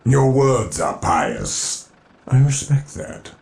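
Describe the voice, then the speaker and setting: An actor playing a solemn, pious armored space marine commander in a video game, grave and formal, with a gravelly voice. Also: male voice, mid-range voice, huge pitch swings, slow pace, full character voice. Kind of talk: dramatic video game voice acting. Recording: echoing voice under loud music.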